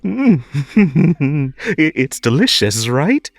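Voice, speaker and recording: An unhinged, possessive hare host for a theatrical audio drama. The voice is gravelly and creaky, leaping all over in pitch, in a close, dry sound. He stammers and giggles, veering between gushing sweetness and menace. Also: sing-song, very slow, no room sound, soft background music, full character voice. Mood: gushing, flirty.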